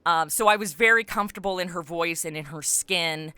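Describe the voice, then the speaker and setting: A middle-aged American woman speaks as a podcast guest, casual and animated. Her voice is bright and clear, recorded close and dry, and she talks informally with plenty of conversational filler. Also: on the low side, fairly quick, no room sound. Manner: animated, informal, peppered with like and you know. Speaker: interview guest, comedy podcast writer and voice actor. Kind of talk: casual interview chat about own creative work.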